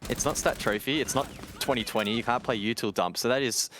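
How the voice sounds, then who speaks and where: A man's voice: mid-range voice, quick pace. A man giving live play-by-play commentary on an esports match in a conversational way. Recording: game noise, gunfire and clicks behind.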